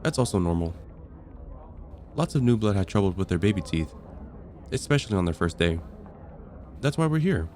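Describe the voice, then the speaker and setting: Low-voiced, composed vampire counselor played by a man. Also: expressive, dark tone, slight background noise. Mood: clinical, reassuring. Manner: measured, clinical, procedural. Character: composed male vampire counselor, decades on the job, matter-of-fact. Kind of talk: ASMR roleplay.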